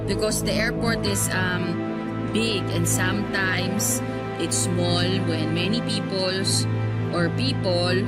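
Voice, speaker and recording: A woman's voice: medium pitch, lightly acted. A woman voicing a fussy shopkeeper in her forties who stumbles through broken English and snaps back in Tagalog. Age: 40s. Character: fussy fortyish woman shopkeeper chasing foreign suitors. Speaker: sari-sari store owner. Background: loud background music.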